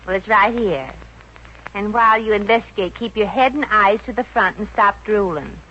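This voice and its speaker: A woman's voice: mid-range voice, normal speed, a bit bright, natural voice. An actress playing a sassy, streetwise young woman with flippant slang in a 1950s radio comedy. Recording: noisy, dull old 1950s radio recording.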